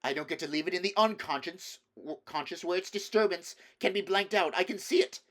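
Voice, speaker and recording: A man voicing an intense teenage boy who swings between anguished shouts and cold, iron-controlled speech in an audiobook reading. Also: medium pitch, normal speed, voice pushed up, close to an everyday voice.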